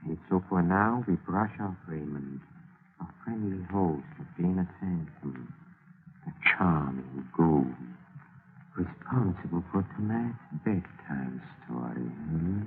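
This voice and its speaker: An actor playing a suave, conspiratorial mystery host on 1940s radio, measured, theatrical and inviting. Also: male voice, sits low, expressive, exaggerated voice. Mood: sly. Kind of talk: 1940s radio scripted hosting. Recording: dull 1940s radio recording. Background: music.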